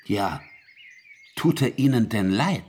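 A sly, menacing investigator in a radio drama. His voice is low and husky, and it rises and dips widely as he probes with mock courtesy and theatrical deliberation. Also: lively intonation, slow, a little mellow, full character voice. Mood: coolly methodical. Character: sly, polite male investigator, probing and menacing. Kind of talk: German radio drama acting.

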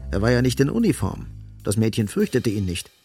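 A man's voice: on the low side, big pitch swings, brisk, warm tone, slightly rough, no echo, faint music behind. A man narrating a scripted literary radio drama as storyteller.

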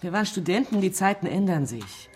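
An actress playing a world-weary middle-aged journalist in a radio drama, dry and reluctant. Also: female voice, on the low side, expressive, fairly quick, a little mellow, slight background noise, natural voice. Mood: wary, reluctant.

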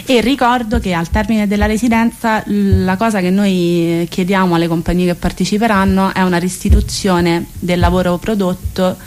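A woman's voice: on the low side, a little mellow. A woman speaks as a radio interview guest, explaining a project in long sentences while searching for words. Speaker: guest presenting a theatre residency project.

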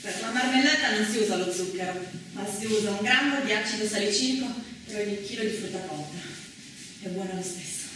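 A woman's voice: medium pitch, brisk, close to an everyday voice. A woman performing as an earnest chronicler of women's wartime history, delivering scripted theatrical narration emphatically from a stage, heard at a distance. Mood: grave, factual. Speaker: stage actress in a two-woman theatre play. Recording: very echoey stage, distant, noisy.

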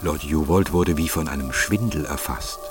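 A man's voice: very deep voice, normal speed, over music. A man narrating a radio drama in a scripted, literary style.

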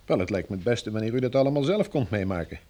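An actor in a Dutch-language radio play as a courteous, firm envoy of a pioneer community, speaking in a measured, formal, persuasive way. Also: male voice, on the low side, animated, dark tone. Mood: firm, diplomatic.

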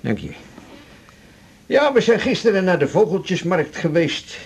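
A man's voice: high-pitched, big pitch swings, unhurried, slight background noise, full character voice. An actor playing a jovial, familiar, chatty man, breezy and teasing, in a radio drama.

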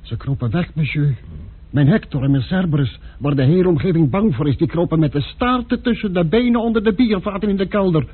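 A man's voice: fairly high pitch, animated, fairly quick, husky. A man playing a nervous, fretful innkeeper. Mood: anxious. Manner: anxious, deferential. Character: nervous, wary male country innkeeper. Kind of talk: radio drama acting. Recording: muffled phone-like old radio recording.

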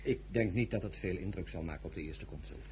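An actor playing a forthright, indignant general in a radio drama, speaking in an emphatic, formal manner. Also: male voice, sits low, fast talker, dark tone, slight background noise, close to an everyday voice. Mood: sober. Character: Bernadotte, forthright, indignant general, husband and father. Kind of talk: Dutch radio drama acting.

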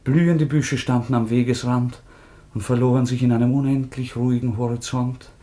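A man's voice: medium pitch, flat delivery, moderate pace, mellow, close to an everyday voice. A man playing a passionate, impulsive young nobleman in a radio drama, with a lyrical, fervent, declamatory delivery. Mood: anguished, lyrical. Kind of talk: theatrical German radio drama acting.

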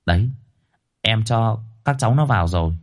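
A man voicing a sly young lackey with a smug, low sales pitch. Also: expressive, moderate pace.

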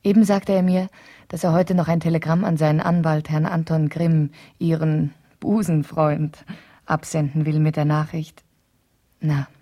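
A wife pouring out an emotional letter in a radio play. Her voice sits a little low, soft-edged and dark, with a husky grain. She confides hesitantly, as if thinking each thought aloud. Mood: tender, content. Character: wife writing an emotional letter to a trusted friend.

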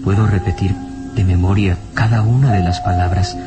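A man's voice: sits low, steady tone, normal speed, natural voice. An actor playing a possessive, brooding nobleman in an intense, melodramatic old radio drama style. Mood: ardent. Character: possessive, brooding nobleman suitor hiding a dark secret. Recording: vintage radio serial with music behind.

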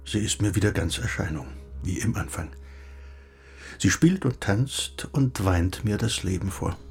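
An actor playing a middle-aged, bookish recluse in a radio play, speaking in a reflective, lyrical and measured way. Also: male voice, deep voice, animated, creaky, darkish tone, soft background music, full character voice. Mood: wistful. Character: wistful, gently philosophizing bookish recluse husband. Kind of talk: German radio play acting.

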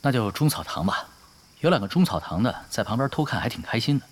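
An actor playing a sly gamer mastermind in an audio drama, giving orders in a casual, teasing way. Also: male voice, medium pitch, sing-song, fast, slight background noise, lightly acted. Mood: breezy scheming.

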